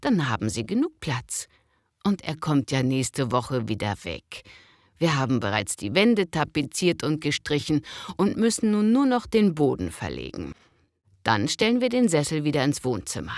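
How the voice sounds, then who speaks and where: A woman's voice: low-pitched, sing-song, moderate pace, a little mellow, no echo, clean studio sound. A woman playing a polite but unbudging neighbour explaining herself in a scripted lesson dialogue. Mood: conciliatory.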